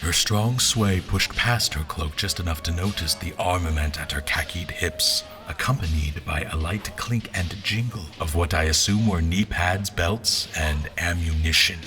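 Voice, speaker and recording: An actor playing a formal, pompous general who narrates his memories in measured, literary language for an audio drama. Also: sits low, over music. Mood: brooding, observant.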